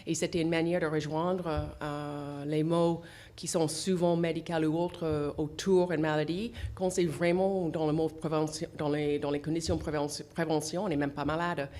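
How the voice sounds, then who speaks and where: A middle-aged woman with an English accent, speaking French as a second language, answers radio interview questions in a halting way, with many fillers and restarts. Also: sits low, level tone, dark tone. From English-speaking country. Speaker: association founder, guest. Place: radio studio.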